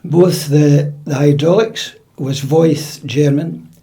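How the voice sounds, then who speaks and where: Older Scottish man, mellow higher-pitched voice. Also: slow pace, vocal fry. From central Scotland. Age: older, about 80. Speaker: interviewee, piping-band drummer. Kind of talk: conversational life-story recollection. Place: indoors at home.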